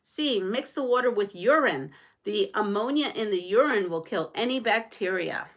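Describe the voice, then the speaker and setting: A woman's voice: mid-range voice, animated, moderate pace, fairly bright tone. A woman from Rico, hosting a live quilting stream. She chats with viewers, reads out comments and quiz questions, and laughs often. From Puerto Rico. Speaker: quilting channel host.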